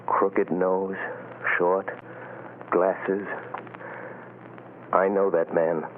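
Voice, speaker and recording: An actor playing a commanding, intensely focused mind reader in a 1940s radio drama, with dramatic pauses. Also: male voice, low-pitched, flat delivery. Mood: focused, urgent. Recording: muffled, hissy old radio broadcast.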